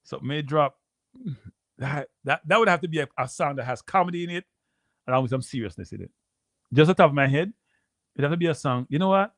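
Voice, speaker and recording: A Jamaican man hosting a live stream, rambling casually to his viewers and repeating himself, with a deep voice. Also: expressive, fairly quick, pauses often, fairly bright tone, no echo, clean studio sound. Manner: rambling, repeats phrases, addresses viewers as people. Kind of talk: casual livestream chat.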